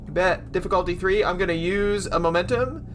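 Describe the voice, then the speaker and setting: A man's voice: sits high, a little fast, noisy background. A man talking casually as a player in a tabletop role-playing game, calling out choices and dice.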